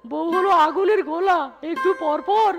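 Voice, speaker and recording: Henpecked cartoon animal husband, extremely high voice, dramatic, plaintive, music underneath. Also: female voice, moderate pace, creaky. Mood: lamenting. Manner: dramatic, lamenting. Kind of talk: cartoon story acting.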